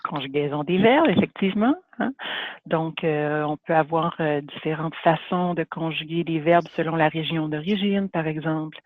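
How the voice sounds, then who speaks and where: A man with a Canadian French accent lectures to an online audience in a fairly high voice, often hesitating and restarting his sentences. The sound is thin and phone-like, with no room echo at all. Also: moderate pace. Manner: hesitant, many fillers and restarts. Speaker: webinar presenter. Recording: phone-like dry webinar audio.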